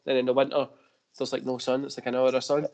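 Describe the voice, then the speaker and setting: A man's voice: mid-range voice, level tone, quick pace, a little mellow. Glaswegian male podcast host, loose and rambling, swears, laughs a lot. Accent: Glaswegian Scottish. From Scotland. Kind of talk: casual wrestling podcast chat.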